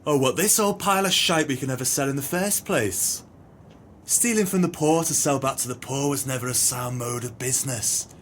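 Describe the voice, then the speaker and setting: A man's voice: medium pitch, normal speed, fairly bright tone, slight background noise, lightly acted. A man playing a bitter, scheming swindler in an audio play, with theatrical, grandiloquent speech. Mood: bitter scorn. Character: bitter, scheming male swindler, eloquently exasperated.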